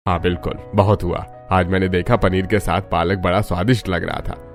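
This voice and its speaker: An elderly family father played by a man from a Hindi cartoon, with a fairly low voice. He is plain-spoken and emphatic, set in his ways, with faint music behind him. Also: flat delivery, a little fast. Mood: agreeable.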